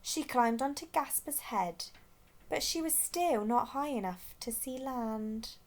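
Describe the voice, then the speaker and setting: A woman's voice: sits high, slow. A woman reading a children's storybook aloud expressively as a storyteller.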